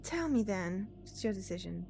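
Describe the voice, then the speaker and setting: A woman's voice: mid-range voice, very animated, normal speed, creaky, warm tone, soft background music. A woman voicing a cold, imperious male villain in a cyberpunk game, formal and condescending.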